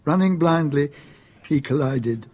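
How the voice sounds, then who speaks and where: A man narrating a radio drama in a measured, literary storytelling manner. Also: fairly high pitch, animated, darkish tone, a little hiss or hum.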